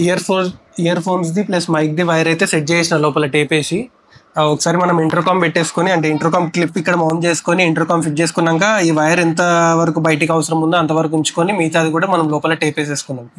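A man's voice: a little high, little pitch movement, racing, fairly bright tone. A man in his twenties with a Telangana Telugu accent, giving a casual how-to vlog talk mixed with English. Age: 20s. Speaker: vlogger. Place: outdoors on a tiled house porch. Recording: faint ambient outdoor noise.

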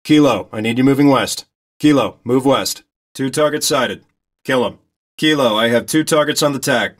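A man acting as a steady military reconnaissance pilot in a game, giving terse, commanding orders over the radio. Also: medium pitch, moderate pace, natural voice. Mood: focused, commanding. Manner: clipped, commanding. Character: steady male military reconnaissance pilot directing a ground squad.

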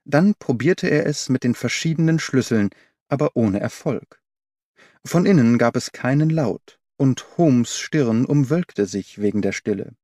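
A man's voice: mid-range voice, lively intonation, unhurried, warm tone, dry recording, clean studio sound. A man reading scripted dramatic narration as an audiobook narrator. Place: dry studio.

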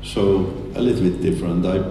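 A middle-aged man whose first language is Turkish, giving an earnest, halting testimony talk in a large church hall with a handheld mic. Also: low-pitched, fairly even intonation, mellow. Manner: earnest, halting, with many restarts. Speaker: guest speaker. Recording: echoey hall, distant mic, faint hiss.

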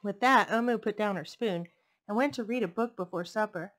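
A young woman hosting a storytime, reading picture books expressively with deliberate phrasing for young listeners. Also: medium pitch, fairly bright tone. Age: young adult. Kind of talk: expressive children's story read-aloud. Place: indoors, furnished home room. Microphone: headset microphone.

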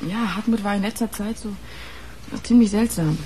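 A woman's voice: mid-range voice, moderate pace, dark tone, slight background noise, lightly acted. An actress playing a guarded, grieving young woman in a naturalistic radio crime drama. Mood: hesitant. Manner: guarded, hesitant. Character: guarded, grieving sister of a dead policeman. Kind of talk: naturalistic radio play acting.

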